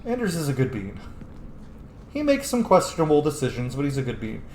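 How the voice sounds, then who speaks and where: Animated male gamer in a roomy space. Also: a little high, fairly quick. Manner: animated, blunt, profane, playful. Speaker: gaming streamer, let's-player. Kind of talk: casual game commentary, reacting aloud. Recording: roomy echo, faint background noise.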